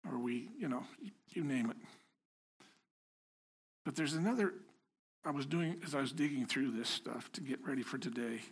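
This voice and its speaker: Husky man preaching conversationally. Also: medium pitch, lively intonation, moderate pace, pauses often. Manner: conversational, self-deprecating, joking with the congregation. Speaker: lay preacher. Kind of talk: informal sermon. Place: house of worship before a congregation.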